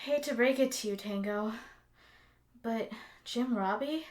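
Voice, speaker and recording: A woman's voice: fairly high pitch, a little slow, lightly acted. A woman playing a wary, sarcastic young adventurer in an audio drama, blunt and given to complaint. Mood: complaining. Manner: dry, blunt.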